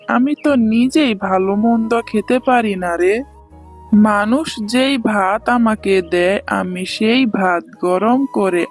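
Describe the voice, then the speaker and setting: A woman playing a poor, lonely, doting old village woman in a children's cartoon, speaking plaintively. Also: mid-range voice. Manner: plaintive, lamenting. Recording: music behind the voice.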